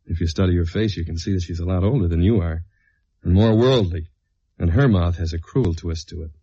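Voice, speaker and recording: A man's voice: sits low, fairly quick, warm tone, husky. An actor playing a tough, confident, wry male investigator in a 1950s-style radio detective drama, plain-spoken and self-assured. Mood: teasing, soothing. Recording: dull vintage radio recording with music.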